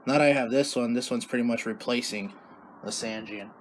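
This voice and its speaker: A man's voice: medium pitch, brisk. Casual man hosting a hobby vlog, faint noise. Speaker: video host, radio hobbyist.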